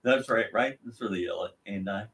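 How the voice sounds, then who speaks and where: Low-voiced man rambling in casual chat. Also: fairly quick, a bit bright. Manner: rambling, laughs often, trails off mid-sentence. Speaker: co-host. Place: live show.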